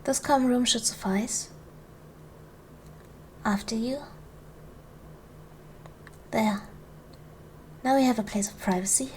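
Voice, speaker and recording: High-voiced gracious duchess, played by a woman, soft ASMR. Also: a little hiss or hum. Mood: gentle, reassuring. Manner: formal, measured, soothing. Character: gracious, commanding noble duchess, protective of her servant. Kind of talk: ASMR roleplay, soft formal period speech.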